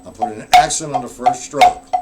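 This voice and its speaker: A man's voice: medium pitch, brisk, over loud music. A man giving a casual live-streamed drum lesson, explaining rudiments in a loose, chatty way and answering viewers in the chat. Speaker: drum teacher.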